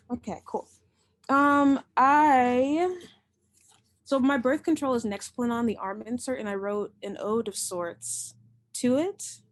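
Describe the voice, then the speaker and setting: Slow, deliberate woman reading poetry. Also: on the high side, no room sound. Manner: slow, measured, deliberate recitation; loose, chatty asides with laughter between poems. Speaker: poet and host of the reading.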